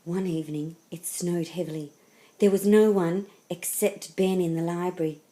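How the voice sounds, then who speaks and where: Lower-pitched woman reading a storybook. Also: fairly even intonation, dark tone, rough recording. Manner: dramatic, deliberate phrasing. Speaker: storybook reader. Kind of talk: expressive scripted children's story narration.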